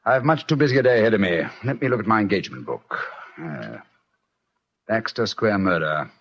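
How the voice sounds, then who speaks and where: An actor playing a brisk, self-assured English detective with an urbane, theatrical British delivery in 1940s radio style. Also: male voice, on the low side, animated, creaky, slightly rough, full character voice. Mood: brisk, amused. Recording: dull vintage radio recording.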